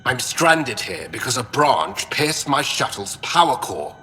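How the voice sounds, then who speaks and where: A man's voice: medium pitch, big pitch swings, measured pace, sharp tone, slight background noise. An actor playing a fussy, irritable male alien historian in a video game, curt and sardonic. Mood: peevish complaining. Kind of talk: video game dialogue acting.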